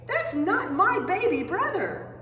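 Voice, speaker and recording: A woman voicing a blunt, doubtful little girl in a storybook, making emphatic, drawn-out declarations. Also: very high voice, sing-song, sharp tone, voice pushed up, heavily acted. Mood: indignant. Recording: echoing room, distant mic, faint noise.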